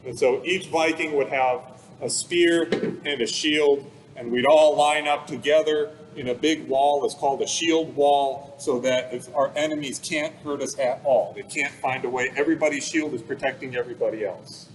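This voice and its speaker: A man with a medium-pitched voice presents like a museum expert talking to children. His wording is casual and plain, with everyday comparisons. There is some noise behind him. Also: steady tone, normal speed, low-quality recording. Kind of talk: informal explanatory talk for children.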